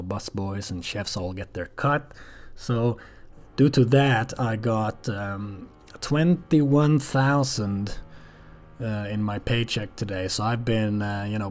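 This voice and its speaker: Low-voiced man rambling through an audio log. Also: a little mellow. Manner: hesitant, halting, frequently swearing.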